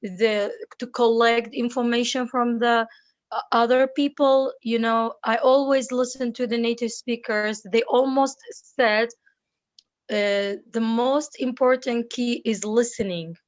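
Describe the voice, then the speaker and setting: An English teacher from Kurdistan with a Kurdish accent, speaking earnestly on a video call and repeating words while searching for them. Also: female voice, a little high, fairly even intonation, a little slow, dry recording, lo-fi sound. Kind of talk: casual video call interview.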